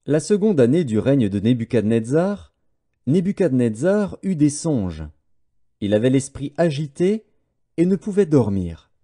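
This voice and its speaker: A man narrating a scripture audiobook in a formal, measured storytelling manner. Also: medium pitch, very animated, dark tone.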